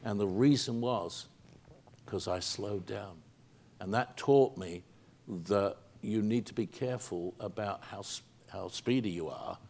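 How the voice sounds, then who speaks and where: A British man in his sixties with a low voice tells anecdotes to a theater audience. He is chatty and rambling, with plenty of ums and self-corrections. He sounds like a stage microphone picked him up on stage. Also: a little slow, a little mellow. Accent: British English. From England. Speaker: actor answering audience questions. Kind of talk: stage Q&A storytelling. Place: theater stage, seated in armchair.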